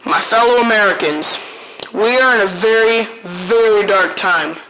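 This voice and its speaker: A man playing a president making a grave, halting address to the nation in an amateur audio drama. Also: high-pitched, sharp tone, slight background noise, rough recording.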